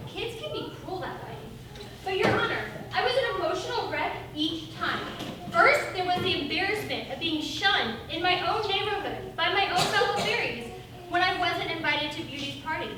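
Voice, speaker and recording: Woman acting as old fairy-tale witch, high swooping voice, mock-genteel, self-pitying, secretly vicious, stage comedy. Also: lively intonation, normal speed. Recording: distant, very echoey, noisy stage recording.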